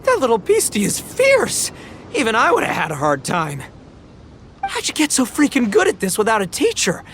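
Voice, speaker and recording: An actor playing a boisterous, good-hearted young oni gang boss in a video game, slangy, goofy and exclamatory. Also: male voice, very high voice, wildly swooping pitch, moderate pace, fairly bright tone, a little hiss or hum, exaggerated voice. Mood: amazed. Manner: slangy, exclamatory, dim but enthusiastic.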